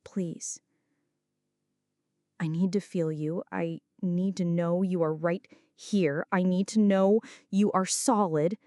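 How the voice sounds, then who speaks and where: A woman playing a flirty, anxious female friend in an intimate ASMR roleplay, speaking softly and dramatically, close to the listener. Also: on the low side, fairly even intonation, slow, no echo. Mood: scared, pleading. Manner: soft, close, dramatic pleading and confiding.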